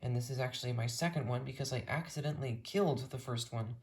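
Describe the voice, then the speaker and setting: An American hobbyist with a mid-pitched voice, giving a casual, enthusiastic and detailed rambling tour of a collection as the video's host. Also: fast.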